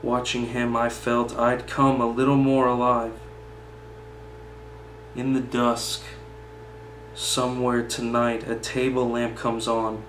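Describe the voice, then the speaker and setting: A young man speaks slowly in a mid-pitched voice that barely rises or falls. His delivery is plain and unhurried. The small room adds a noticeable echo, and he sits a few feet from the camera's microphone. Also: monotone, fairly bright tone. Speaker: poetry reader. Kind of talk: poem reading, then informal personal commentary. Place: small indoor meeting room, seated at table. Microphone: camera mic a few feet away. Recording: roomy echo with faint background hum.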